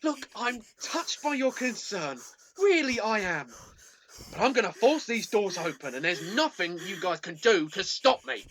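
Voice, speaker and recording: A woman's voice: medium pitch, sing-song, measured pace, slight background noise. A woman playing an angry, headstrong stranded passenger in an amateur radio play, combative and sarcastic. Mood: defiant.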